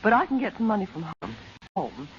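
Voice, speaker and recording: A woman's voice: mid-range voice, big pitch swings, a little fast, close to an everyday voice. A woman acting an anxious, caring middle-aged mother in an old-time radio serial. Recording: muffled, noisy old radio recording.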